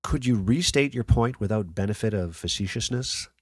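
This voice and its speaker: A man acting as a skeptical late-night talk radio host with a fairly low voice, recorded close and dry. He challenges his callers with wry, sardonic banter in a radio play. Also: animated, moderate pace, breathy edge, no echo.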